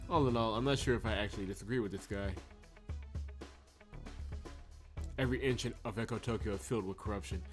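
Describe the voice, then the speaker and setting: A man's voice: fairly low pitch, racing, natural voice. A man reading the lines of a curious, pragmatic young woman detective from a visual novel, with music behind. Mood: thoughtful.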